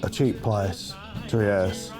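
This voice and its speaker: A man in his fifties with a fairly low voice talks thoughtfully, pausing as he goes, in a documentary interview. Clear music runs beneath him. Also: steady tone. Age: 50s. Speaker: band bassist. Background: music bed.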